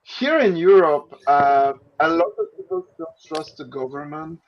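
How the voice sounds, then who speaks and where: Swiss man, halting, lo-fi call audio. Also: on the high side, very animated. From Switzerland. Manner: halting, many restarts and fillers. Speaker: podcast guest. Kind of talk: casual interview answers. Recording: dull lo-fi audio.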